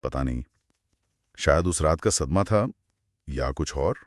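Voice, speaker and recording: Animated man telling horror stories. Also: very deep voice, slow, no echo, clean studio sound. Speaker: host narrating horror stories. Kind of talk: conversational horror storytelling.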